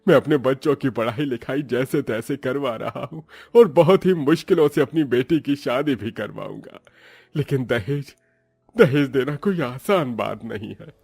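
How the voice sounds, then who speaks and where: A man's voice: mid-range voice, expressive, moderate pace, a little mellow, husky, dry recording, faint music behind. A man voicing a poor, middle-aged farmer and father in a village story, kindly, earnest and lamenting. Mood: worried, pleading.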